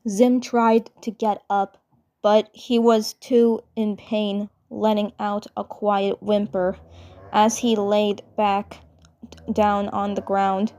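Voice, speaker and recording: A woman reading fan fiction narration aloud, haltingly, often repeating phrases as she goes. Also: medium pitch, little pitch movement, dark tone. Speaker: fan dub storyteller.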